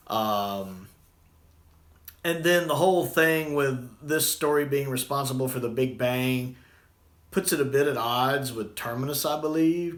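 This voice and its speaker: A man's voice: mid-range voice, a bit bright. Man reviewing casually in an echoey room. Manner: rambling, conversational.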